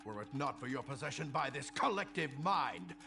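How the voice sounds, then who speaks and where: A man's voice: medium pitch, big pitch swings, normal speed, gravelly, bright, forceful tone, soft background music, exaggerated voice. A man playing a proud Norse thunder god in a video game, with pompous, archaic, formal speech. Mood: haughty defiance. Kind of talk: video game cutscene acting.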